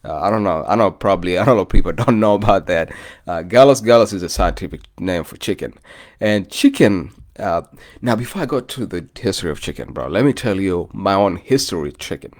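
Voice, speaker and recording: Deep-voiced Kenyan man chatting casually. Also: expressive, a little fast. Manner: loose and chatty, often says "bro", greets live commenters. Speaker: vlogger. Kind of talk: casual rambling chat to viewers.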